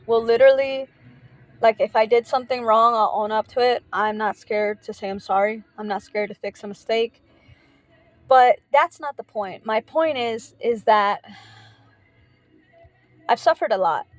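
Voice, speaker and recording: A woman speaking earnestly in a personal faith vlog, heartfelt and halting, often pausing on filler words. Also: fairly high pitch, no echo. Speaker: faith vlogger.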